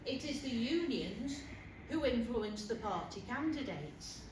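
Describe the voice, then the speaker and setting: Woman acting rousing high-voiced suffragist orator, roomy hall. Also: big pitch swings. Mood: pointed, indignant. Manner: emphatic, rhetorical, with deliberate pauses and repetition. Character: Selina Cooper, rousing working-class suffragist orator. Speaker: actor performing a monologue. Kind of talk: theatrical political rally speech. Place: public hall, live performance before an audience. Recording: roomy, distant, noisy hall recording.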